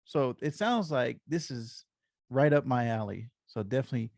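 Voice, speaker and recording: A man's voice: medium pitch, expressive, normal speed, vocal fry, darkish tone, no echo, clean studio sound. A man hosting a casual comics podcast with an upbeat, encouraging way of talking. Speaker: podcast host.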